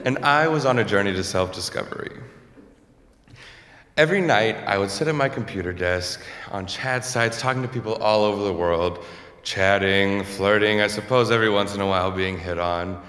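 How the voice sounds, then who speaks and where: A young man, a student with a low voice, telling a personal story to an audience in an earnest, candid way. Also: moderate pace, fairly bright tone. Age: young adult. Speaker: student speaker. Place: lecture hall. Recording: echoey hall with long reverb.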